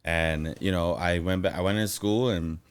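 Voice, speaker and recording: A very deep-voiced man, speaking as a podcast guest. He tells his story in an animated, easygoing way. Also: moderate pace, smooth. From Guyana. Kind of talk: casual interview.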